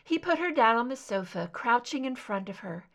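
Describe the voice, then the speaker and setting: A woman's voice: on the high side, lively intonation, normal speed, gravelly, fairly bright tone. A woman reading a scripted story aloud as an amateur audiobook narrator.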